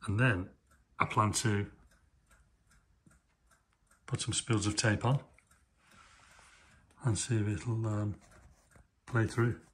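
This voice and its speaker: A man giving casual, hands-on commentary in short, unhurried remarks as he works on equipment. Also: fairly low pitch, steady tone, a bit bright. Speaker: host of a hands-on vintage electronics video. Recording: clear background noise with clicking.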